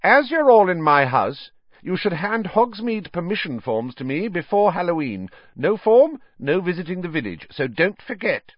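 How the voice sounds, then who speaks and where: Male narrator playing strict older schoolmistress: high, clipped, authoritative; storybook reading, dry and close. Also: big pitch swings, normal speed, no room sound, dull audio. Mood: stern, brisk. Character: stern, brisk older female schoolteacher.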